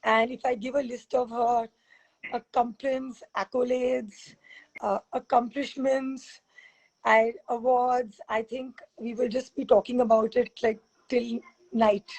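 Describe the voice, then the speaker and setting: A woman hosting a casual interview over a video call, chatty and rambling, her voice husky from teaching back-to-back classes. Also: on the high side, little pitch movement, unhurried, darkish tone, poor audio. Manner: chatty, rambling, laughs often. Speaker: fitness expert and host.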